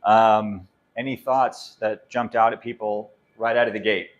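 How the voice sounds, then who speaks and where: A loud, talkative man hosting the event and opening the discussion to the audience. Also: lowish voice, fairly even intonation, a little slow, dull audio. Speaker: event host. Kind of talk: moderating a live Q&A.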